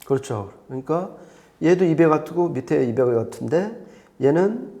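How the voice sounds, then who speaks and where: A man's voice: on the high side, lively intonation, a little slow, mellow, highs cut off. A man speaking as an audio expert, explaining things conversationally and step by step, often repeating points for clarity. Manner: didactic, explains step by step with repetition.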